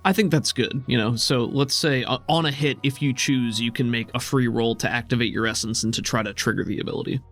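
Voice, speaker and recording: A man speaks as a tabletop game master at a middling pitch. He narrates and explains rules in an improvised way, with music playing under his voice. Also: steady tone, a little fast, hardly any pauses, a bit bright. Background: background music.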